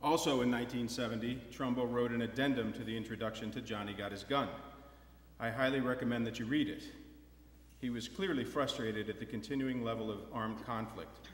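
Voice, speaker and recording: A man giving a prepared introduction to a live audience as the host of a staged reading. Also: medium pitch. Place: large indoor hall or theatre. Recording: echoey hall with long reverb.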